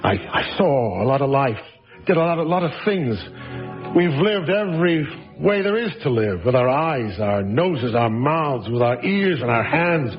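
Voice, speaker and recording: A man's voice: mid-range voice, big pitch swings, unhurried, dull audio, faint music behind. An actor playing a wry, reflective former novelist in a radio drama, musing over his past.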